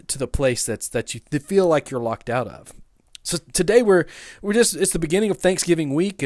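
A man's voice: a little high, dry recording. Casual storytelling male pastor, dry sound. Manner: casual, halting, many restarts and fillers. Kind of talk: conversational sermon with personal story.

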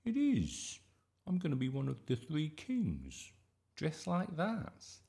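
A man's voice: on the low side, big pitch swings, moderate pace, creaky, darkish tone, voice pushed up. A scrappy young schoolboy from a children's storybook, played by a man. He is cheeky and stubborn, speaking in blunt, insistent phrases with plenty of up and down. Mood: eager, insistent. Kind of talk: children's audiobook character voice.

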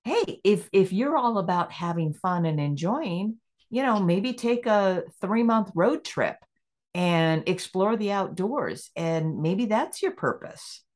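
A middle-aged woman guest on a podcast takes her time, speaking at an unhurried pace. Her voice sits in a middle register. She thinks out loud and breaks into easy laughter. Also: mid-range voice, animated. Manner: reflective, acts out inner questions, laughs easily. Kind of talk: conversational interview.

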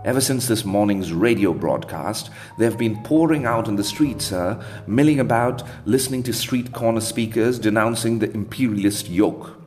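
A man's voice: lowish voice, normal speed, few pauses, a little mellow, close to an everyday voice. A man reading aloud voices an anxious, deferential British equerry who speaks politely and hesitantly, with faint guitar music behind. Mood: worried. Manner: polite, hesitant, keeps saying sir.

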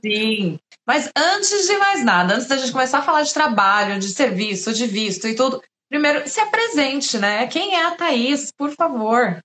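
A woman's voice: sits high, big pitch swings, moderate pace, few pauses, fairly bright tone, roomy sound. A woman in her thirties hosting a live stream, interviewing a guest in a friendly, enthusiastic way. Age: 30s.

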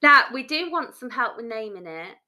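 Woman, podcast co-host, casual chat, bright voice, lively swooping pitch, quick to laugh. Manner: chatty, laughs often, excitable.

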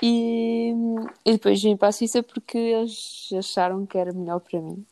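A woman's voice: mid-range voice, fairly even intonation, moderate pace, mellow. A woman with a European Portuguese accent giving casual, conversational answers as a podcast guest.

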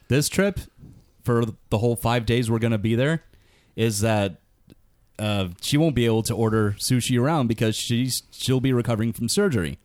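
A man in a casual family chat, teasing with wry, deadpan asides. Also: medium pitch, moderate pace, dry recording, clean studio sound. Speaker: podcast co-host.

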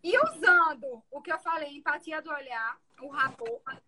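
A woman defense lawyer with a soft voice, explaining her courtroom methods in an interview. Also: sits high, lively intonation, moderate pace.

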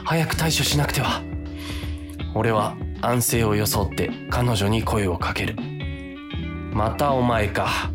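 An actor playing a weary, easily tempted office worker who narrates a comic radio drama with grumbling, self-deprecating drama. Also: male voice, on the low side, slow pace, long pauses, over music. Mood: flustered resolve.

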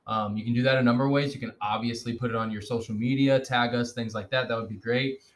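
A man's voice: medium pitch, steady tone, fast talker. A young man hosting a casual podcast, upbeat and joking, with plenty of fillers. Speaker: podcast host.

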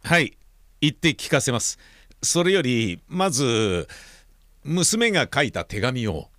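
This is a middle-aged father who speaks formally and firmly about his daughter. His pitch moves a lot as he speaks. The recording is dry and close. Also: sing-song, slow, a bit bright, dry recording. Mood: serious. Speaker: father of a young woman. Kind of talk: radio drama acting.

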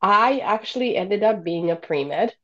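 A woman from Bosnia, a podcast guest in her thirties telling animated stories in a casual interview and laughing often. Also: medium pitch, a little slow, dull audio.

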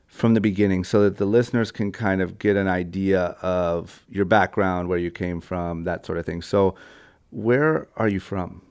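Eager rambling podcast host, low voice. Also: male voice, steady tone, moderate pace, a little mellow, smooth, no room sound, studio quality. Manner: eager, rambling, many fillers and restarts. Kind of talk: casual interview chat.

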